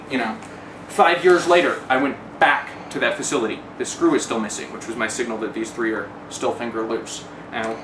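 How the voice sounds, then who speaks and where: A man giving a candid first-person account in a wry way, casually swearing and acting out remembered exchanges. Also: mid-range voice. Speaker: interviewee telling a personal story. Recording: roomy, distant mic, clear background noise.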